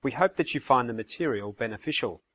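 A man reading a short recorded copyright notice in an even, formal way. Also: mid-range voice, fast. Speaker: announcer. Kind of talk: read recorded disclaimer.

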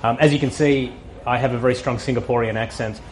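A man with an Australian accent giving a morning talk to professionals, relaxed, with many ums, building lists of points with rising emphasis. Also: medium pitch, fast, crowd noise, poor audio. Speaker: speaker.

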